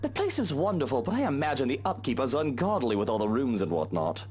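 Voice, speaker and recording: A man's voice: medium pitch, very animated, fast talker, darkish tone, breathy edge, faint music behind. A man playing a casual, flirtatious, wealthy lord of a mansion in a visual novel game, with an informal, breezy manner. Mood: breezy, chatty.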